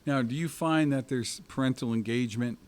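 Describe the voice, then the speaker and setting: A man's voice: mid-range voice, brisk. A middle-aged man hosting an interview, asking questions conversationally and often restarting them mid-sentence. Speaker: host.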